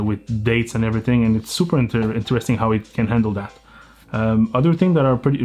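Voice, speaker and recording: A man's voice: medium pitch, darkish tone. A man with a French Canadian accent giving a casual tech review, explaining as he goes and sometimes restarting his sentences. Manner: explanatory, thinking aloud with small restarts.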